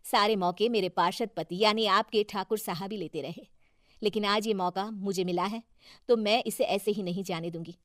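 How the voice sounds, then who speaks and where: A woman plays a wronged wife and councillor in a cartoon moral story. She speaks up earnestly and with indignation, in a medium-pitched female voice. The sound is dry and close. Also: steady tone, normal speed, no echo, close to an everyday voice. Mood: earnest, resolute.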